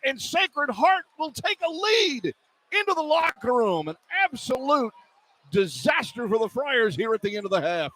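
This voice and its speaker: A man's voice: extremely high pitch, very animated, moderate pace, sharp tone. A man working as a radio play-by-play announcer, calling live basketball in clipped running phrases from a courtside spot. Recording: live arena broadcast, clear background noise. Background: arena ambience and noise.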